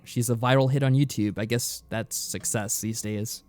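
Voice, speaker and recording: Male co-host, medium pitch, casual low-key chat, agreeable, no room sound. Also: fairly even intonation, normal speed, a little mellow, no echo. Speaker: podcast co-host.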